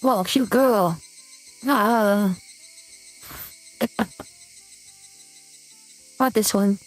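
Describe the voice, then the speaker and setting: Cute girly filter voice, woman testing it, slow and playful, music in back. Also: poor audio. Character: cute high-pitched girl. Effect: high girly voice-changer filter.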